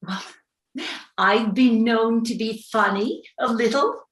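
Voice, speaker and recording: A woman's voice: fairly high pitch, takes it slowly. A woman acting a bossy older woman, echoey. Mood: determined. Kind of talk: staged reading of a play. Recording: roomy, echoey sound.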